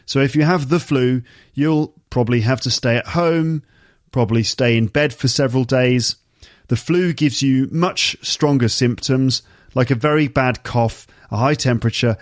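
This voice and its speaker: A man with a slight cold, teaching vocabulary on his podcast in a chatty way, repeating key words to make them clear. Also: medium pitch, no echo, studio quality. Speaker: English teacher and podcast host. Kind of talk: casual explanatory podcast monologue for learners.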